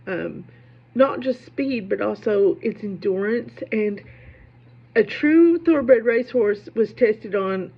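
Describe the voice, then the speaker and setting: A woman with a lingering cold, talking to viewers as a poetry video host in a casual, digressive, self-deprecating monologue with a creaky voice. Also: medium pitch. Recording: dull lo-fi audio.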